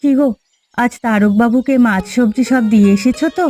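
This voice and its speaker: A woman voicing a contented, good-hearted farmer's wife in a cartoon fairy tale, earnest and gentle. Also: fairly high pitch, brisk, soft background music, natural voice. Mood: contented, hopeful.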